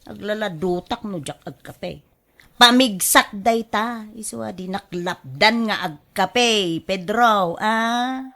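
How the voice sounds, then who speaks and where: A woman's voice: medium pitch, fairly bright tone, heavily acted. A woman acting as a restless, nagging wife in a radio drama, full of sighs and exclamations. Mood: savouring, defensive. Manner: exclamatory, sighing, querulous. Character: restless, nagging wife fretting and bickering with her husband.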